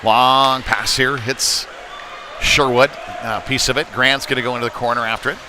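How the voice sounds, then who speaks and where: A man with a mid-pitched voice gives live hockey commentary over clear rink noise. His delivery is folksy and chatty, picking up energy as the play develops. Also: fairly even intonation, normal speed. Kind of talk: live sports commentary with casual asides. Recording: noisy live rink ambience.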